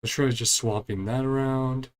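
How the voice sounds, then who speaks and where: A man's voice: mid-range voice, fairly even intonation, moderate pace. A man giving casual live commentary as he streams a game, muttering to himself and trailing off.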